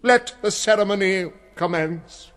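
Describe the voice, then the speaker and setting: A man's voice: sits high, measured pace. A British actor playing an imperious, irritable prince and father in a radio drama. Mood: commanding, dismissive. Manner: commanding, irritable. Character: imperious, impatient prince, father of a teenage son.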